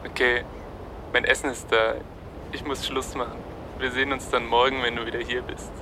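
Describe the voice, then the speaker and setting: A man's voice: medium pitch, level tone, moderate pace, close to an everyday voice. Brief: male actor as a teasing workmate, mocking and pushy, ordinary mid voice, noisy background. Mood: joking, mocking. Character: teasing male colleague on the phone.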